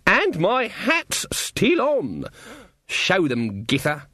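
A man's voice: sits high, wildly swooping pitch, extremely slow, bright, forceful tone, dry recording, pitched above the performer's own voice, full character voice. A man voicing a stern, sardonic old village witch woman in an audiobook, brusque and scornful, with folksy speech. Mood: brisk, triumphant. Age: older.

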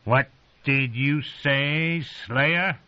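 A man voicing a rough, menacing male wildling with coarse, rustic speech. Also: mid-range voice, expressive, measured pace, sharp tone, pitched above the performer's own voice. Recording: muffled lo-fi recording.

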